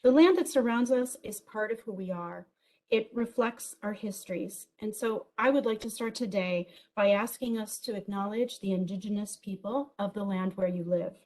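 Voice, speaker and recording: A woman with a big voice giving an enthusiastic virtual conference keynote, lively and peppered with ums and small jokes. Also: mid-range voice, normal speed, rough recording. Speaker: keynote speaker.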